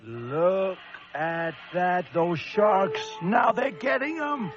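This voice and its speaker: An actor playing an unhinged, manic lighthouse keeper cracking under siege, theatrical and frantic in old-time radio style. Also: male voice, high-pitched, animated, very slow, heavily acted. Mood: manic glee. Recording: dull vintage radio recording, music underneath.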